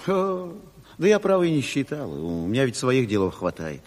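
An actor playing a simple, eager, barely literate village official in a radio drama, folksy and flustered, repeating himself. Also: male voice, mid-range voice, wildly swooping pitch, normal speed, darkish tone. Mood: eager, sheepish. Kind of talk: Soviet radio drama acting. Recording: hall reverb of 1970s radio play.

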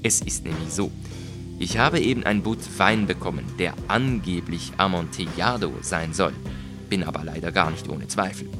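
A man voicing a cunning, vengeful gentleman in a story, flattering and coaxing with feigned concern for a friend. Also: low-pitched, moderate pace, music in the background, natural voice. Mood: feigned delight. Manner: flattering, falsely solicitous, coaxing. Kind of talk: dramatised audiobook reading.

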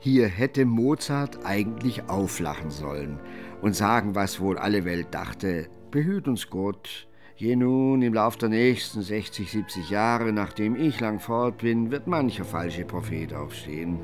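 A reflective male storyteller narrates a period radio play in a lowish voice. His delivery is measured and literary, with clear music playing underneath. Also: expressive.

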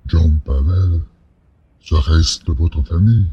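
An aged alien ruler in an audio drama, with an extremely deep voice and heavy rasp. He speaks with courteous, dignified authority and a genial temper. The recording is dull and muffled. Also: takes it slowly, exaggerated voice. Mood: courteous, commanding. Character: dignified elderly alien supreme leader, genial and commanding.

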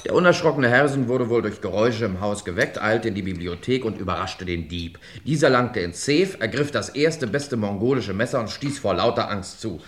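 A man's voice: fairly low pitch, animated, a little fast, few pauses, slight background noise, natural voice. A man playing a breezy, pedantic eccentric nephew in a radio play, precise and matter-of-fact. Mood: dry, brisk. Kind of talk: old German radio play acting.

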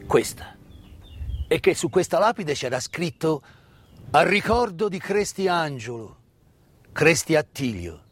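A middle-aged man delivers a narrative stage monologue with deliberate, emphatic phrasing and dramatic pauses. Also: a little high. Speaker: stage actor and storyteller. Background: live instrumental music.

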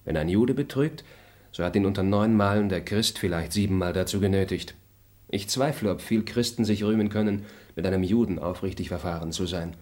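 An actor voicing a composed, fair-minded gentleman traveler in a radio play, speaking in a measured, formal and articulate way. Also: deep voice, natural voice. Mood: reflective. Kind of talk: German radio play acting.

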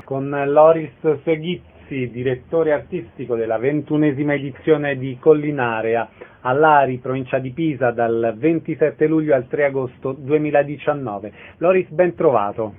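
A man's voice: mid-range voice, normal speed, faint music behind. A man hosting a radio interview, announcing details and questions in clipped phrases. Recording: narrow, phone-like radio sound.

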